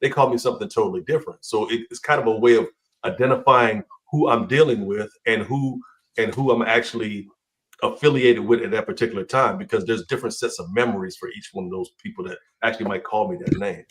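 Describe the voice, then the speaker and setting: A man co-hosting a casual podcast discussion, talking in a measured way and repeating words as he starts. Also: on the low side, low-quality recording. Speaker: podcast co-host.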